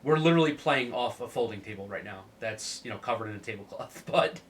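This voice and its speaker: A man's voice: fairly low pitch, animated, fast talker, far from the mic. A man hosting a casual chat, sounding stuffed up from a cold, with a laid-back manner. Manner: laid-back, lots of fillers and laughs. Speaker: podcast host.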